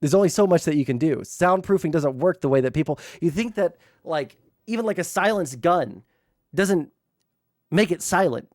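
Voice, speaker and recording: A man streaming from home, chatting casually with lots of fillers and some swearing, his voice naturally loud. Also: a little high, expressive, normal speed, no echo, clean studio sound. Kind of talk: casual livestream chat. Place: room hung with soundproofing blankets. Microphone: streaming mic with gain turned low.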